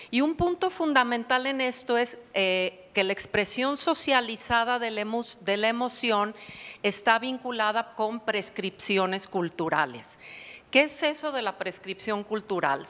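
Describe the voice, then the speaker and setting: A woman psychotherapist speaks at a conference in a reflective, explanatory way and often turns to rhetorical questions. Her voice sits at a middle pitch. It carries a long reverb, as if she were speaking in a large lecture hall. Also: a bit bright. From Mexico. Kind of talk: academic conference talk.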